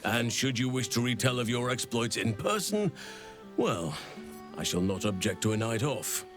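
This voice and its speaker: An actor playing a gentle, wise, warm-hearted druid in a fantasy video game, speaking in formal, courtly, unhurried phrases. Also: male voice, medium pitch, expressive, lightly acted. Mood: fond, amused. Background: music.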